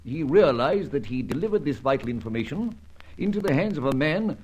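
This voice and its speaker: An actor playing an older, hearty British secret service chief with plummy, clubby speech, in an old-fashioned radio serial style. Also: male voice, fairly high pitch, sing-song, a little fast, a little mellow, a little hiss or hum, low-quality recording. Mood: grave.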